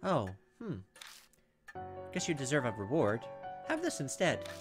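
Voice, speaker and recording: A man's voice: mid-range voice, wildly swooping pitch, unhurried. A man voicing a curt, defensive, secretive woman in a horror game, with music behind. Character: brusque skeptical woman, secretive, hiding her fear.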